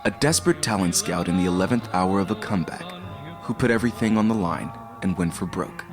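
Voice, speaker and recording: A man narrating an audio drama and reading the credits in a measured, dramatic storyteller manner. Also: low voice. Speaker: narrator and show host. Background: guitar music.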